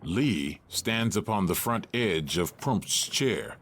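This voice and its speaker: A man's voice: lowish voice, animated, slow pace, fairly bright tone, slight background noise. A man reading stage directions as scripted narration for an audio drama.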